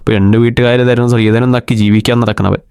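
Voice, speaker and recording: A bossy young schemer, played by a man, lectures his friends in a Kerala accent. His voice is smooth and resonant, and it sounds close and dry. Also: medium pitch, fairly even intonation, quick pace, a little mellow, no echo, lightly acted.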